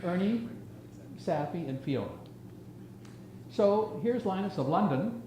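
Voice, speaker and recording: A man in his seventies giving an informal, reminiscing slide lecture in a halting, conversational way, speaking directly to his audience. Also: high-pitched, expressive, background noise. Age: 70s. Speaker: retiring surgeon giving an emeritus lecture.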